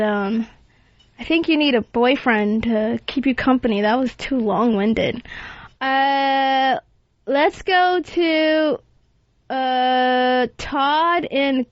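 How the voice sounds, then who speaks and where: High-voiced brash woman radio host. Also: no room sound, highs cut off. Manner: brash, ranting, blunt. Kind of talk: casual, profane comedy talk.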